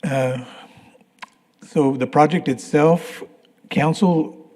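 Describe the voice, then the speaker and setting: A man giving a construction update at a public meeting, hoarse and clearing his throat, talking in a plain, halting way with many restarts. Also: a little high, steady tone, darkish tone. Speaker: construction manager. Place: council meeting room. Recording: livestream from a reverberant meeting room.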